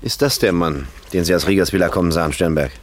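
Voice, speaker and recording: Man acting a businesslike police detective, radio drama, very low suspicious voice, bit of background noise. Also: quick pace, close to an everyday voice. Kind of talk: German crime radio play acting.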